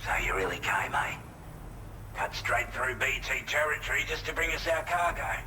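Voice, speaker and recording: An actor with an Australian accent playing a plain-spoken, earnest shelter resident briefing a courier in a video game. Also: male voice, mid-range voice, lively intonation, moderate pace, bright, forceful tone, slight background noise. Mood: appreciative, casual. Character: matter-of-fact male shelter resident, allied quartermaster briefing a courier. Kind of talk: video game cutscene dialogue, mission briefing.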